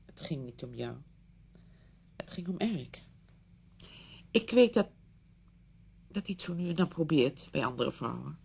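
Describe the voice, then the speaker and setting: Bitter suspicious wife, radio drama, creaky and husky, agitated. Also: female voice, low voice, very animated, measured pace, long pauses, a little mellow, exaggerated voice. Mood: defensive. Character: bitter, suspicious betrayed wife defending herself.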